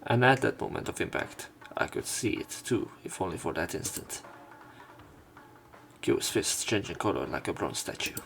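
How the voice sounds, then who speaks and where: Low-voiced man narrating a visual novel. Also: animated, moderate pace, gravelly, loud music behind the voice. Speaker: let's play narrator. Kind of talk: reading visual novel narration aloud, with commentary.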